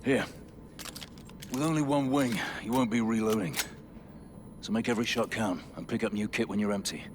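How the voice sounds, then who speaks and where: A man playing a steady military squad leader in a video game, giving terse instructions. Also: fairly low pitch, normal speed, in a large hall, noisy background, natural voice. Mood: guarded, instructive.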